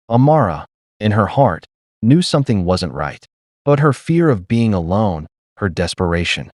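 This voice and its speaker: A man reads a dramatic tale in a deep voice. His delivery is solemn and measured, yet the melody moves up and down a great deal. The sound is close and dry, with no room around it. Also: no room sound. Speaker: narrator. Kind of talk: scripted dramatic storytelling narration.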